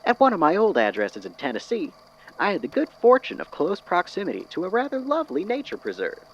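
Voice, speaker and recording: An actor playing an eccentric, courteous, musing storyteller with a folksy, wordy, theatrical manner in an audio drama. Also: male voice, on the high side, a bit bright, full character voice. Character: eccentric, courteous, philosophical storyteller man from Tennessee. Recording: dull lo-fi audio with clear noise.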